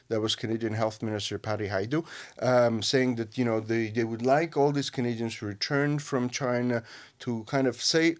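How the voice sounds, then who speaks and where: A man from Armenia with an Armenian accent, co-hosting a news discussion and talking haltingly with many fillers and restarts. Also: medium pitch. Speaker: radio host.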